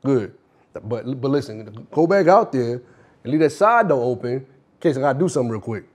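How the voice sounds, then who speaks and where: A man playing a brash, bullying older strip club boss in an acted film monologue, streetwise and repetitive, with drawn-out emphasis. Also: mid-range voice, lively intonation, a little mellow, close to an everyday voice. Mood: shifty, hurried.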